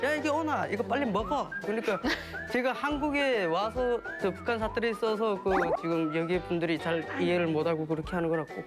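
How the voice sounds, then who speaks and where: A man's voice: high-pitched, brisk, loud music behind the voice. A man from North Korea with a Ryanggang accent, a panelist telling stories.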